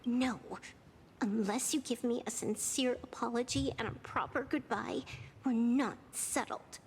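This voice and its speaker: A woman voicing a sharp-tongued young gamer in a video game, sardonic and teasing with a soft heart underneath. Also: a little high, measured pace, in a large hall, slight background noise, natural voice.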